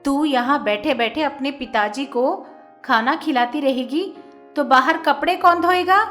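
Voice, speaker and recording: A woman voicing a cruel, greedy stepmother who scolds and shouts insults. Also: high voice, sing-song, measured pace, soft background music, pitched above the performer's own voice, heavily acted. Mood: scolding, shouting.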